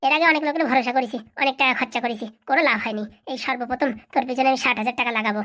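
Woman acting a rapid, high-pitched bossy village boss lady. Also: fairly even intonation, a bit bright. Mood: commanding. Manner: blustering, commanding, boastful. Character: bossy, threatening village woman politician and deal-maker. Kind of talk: comic cartoon dialogue.

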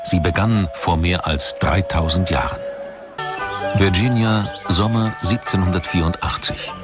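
A man narrating a scripted documentary in a measured, storytelling delivery. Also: low voice. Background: music.

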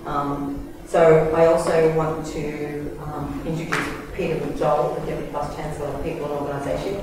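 A woman's voice: low voice, background noise. A middle-aged woman with an Australian accent, introducing a guest speaker at a university event in a casual, chatty way with many fillers and self-corrections. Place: large university lecture hall. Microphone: lectern microphone, recorded from far back. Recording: echoey hall from far off.

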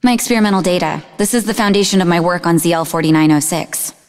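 An actress playing a sarcastic, defensive young woman in a video game, speaking in an expressive, conversational way. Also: lowish voice, fast talker, big-room sound, natural voice. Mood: defensive, dismissive. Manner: snarky, conversational, emotionally expressive. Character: sarcastic, defensive young woman, idealistic martial-arts student and researcher. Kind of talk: video game character dialogue.

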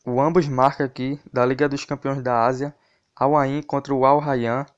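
Brazilian man with an even, flat delivery. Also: medium pitch, normal speed, lo-fi sound. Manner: informal, addresses viewers directly. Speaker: host of a football betting tips channel. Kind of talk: casual sports tips commentary over a screen recording.

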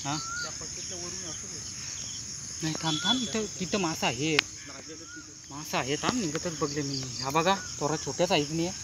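High-voiced young man, very noisy outdoor recording. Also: measured pace, pauses often. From India. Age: young adult. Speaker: fishing vlogger. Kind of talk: casual outdoor vlog chat. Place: outdoors on a riverbank. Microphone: phone camera, varying distance. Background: loud insects and outdoor ambience.

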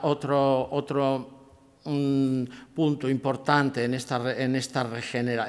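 A man in his sixties giving an academic lecture from a table at a conference, measured and often restarting his phrases. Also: medium pitch. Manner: measured, with frequent restarts and fillers. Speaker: conference speaker. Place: lecture hall, seated at a table with a laptop. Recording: long hall reverb.

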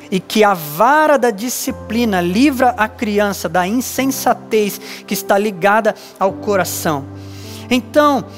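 A man in his thirties with a Brazilian Portuguese accent, a pastor giving an earnest, measured sermon with emphatic pauses on a church stage. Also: very high voice. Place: dark church stage with lights, indoors.

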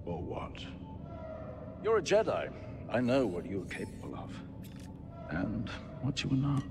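A man's voice: medium pitch, wildly swooping pitch, a little slow. A man playing a haughty, calculating Imperial intelligence commander in a video game, formal and condescending. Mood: cold, condescending. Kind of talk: cinematic game dialogue.

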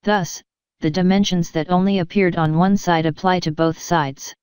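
A woman giving a measured, even, scripted narration as an instructor, explaining technical material step by step. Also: lowish voice, clean tone, no echo.

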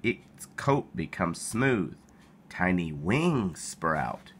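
A man reading a children's picture book aloud in an expressive storytelling style, animated and playful. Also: low voice, drawn-out words, husky. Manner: animated, with playful exclamations and sound effects.

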